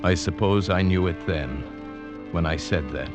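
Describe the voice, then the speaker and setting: An actor playing an anguished, awestruck mountaineer, telling his ordeal in a poetic, measured, intense 1940s radio drama style. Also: low voice. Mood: awed, wistful. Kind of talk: 1940s radio drama acting, first-person narration. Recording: dull vintage radio recording with music. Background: dramatic orchestral score.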